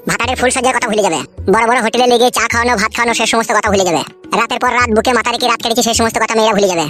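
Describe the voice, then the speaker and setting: A woman's voice: a little high, very fast, a bit bright, soft background music, lightly acted. A woman voicing a blunt, cynical male friend who preaches and teases in comic cartoon dialogue. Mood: mocking, preachy. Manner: rambling, preachy, teasing.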